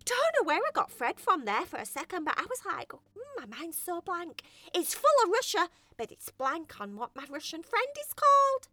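A woman voicing a scatterbrained, boastful young female cat in a bedtime story, rambling and excitable. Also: very high-pitched, moderate pace, hardly any pauses, no echo, full character voice. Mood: excited, chattering. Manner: rambling, excitable, self-admiring.

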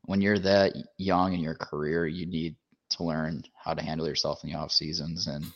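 Deep-voiced man in casual sports chat. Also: flat delivery, creaky. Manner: rapid-fire rundown of matchups. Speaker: podcast co-host. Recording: dull lo-fi sound.

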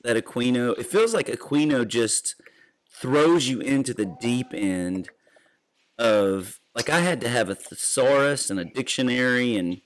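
A man in his forties hosting a podcast, asking questions and telling rambling, animated stories. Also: mid-range voice, measured pace. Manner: rambling, animated storytelling with laughs. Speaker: podcast host. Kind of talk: casual interview.

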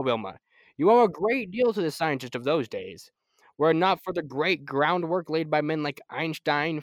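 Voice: medium pitch, dry recording, close to an everyday voice. An amateur player acting an eager, proud scientist-inventor in an old-time radio serial, explaining things in wordy technical detail.

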